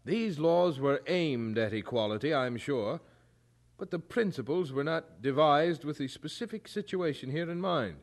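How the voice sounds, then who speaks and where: A man acting in an audio drama as a firm, earnest colonial chaplain, speaking in a formal, measured and articulate way. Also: a little high, lively intonation. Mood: firm, reasoned.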